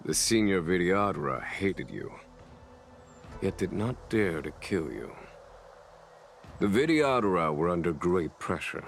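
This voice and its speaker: An actor playing a calm, shrewd military general in a game, speaking in measured, formal phrases. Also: male voice, low-pitched, a little hiss or hum. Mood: measured, knowing. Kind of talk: dramatic scripted game dialogue.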